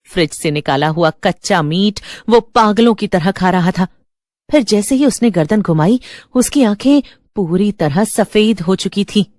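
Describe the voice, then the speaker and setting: A woman's voice: mid-range voice, lively intonation, unhurried, a little mellow, no room sound, studio quality. A woman narrator reading a scripted horror story in a dramatic storytelling style.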